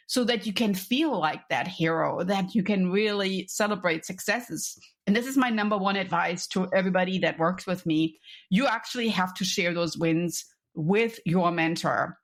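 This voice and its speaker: A middle-aged woman from Europe, a business coach speaking as a podcast guest in an enthusiastic, chatty way with frequent restarts. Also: medium pitch. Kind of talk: conversational podcast interview.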